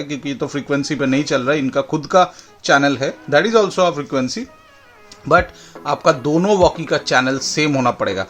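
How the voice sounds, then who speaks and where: An Indian-accented man with a slightly high voice presents a product in a casual, explanatory way. He repeats key points to make them clear. Music plays behind him. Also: a bit bright. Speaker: product demonstrator and channel host. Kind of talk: casual product demo mixing Hindi and English. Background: background music.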